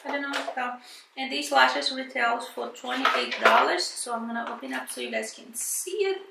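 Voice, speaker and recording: A woman's voice: sits high, moderate pace, creaky, roomy sound, far from the mic, heavy background noise. A woman from Brazil with a thick Brazilian Portuguese accent, chatting casually and hesitantly as she unboxes and reviews beauty products, with many fillers and restarts. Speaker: beauty vlogger.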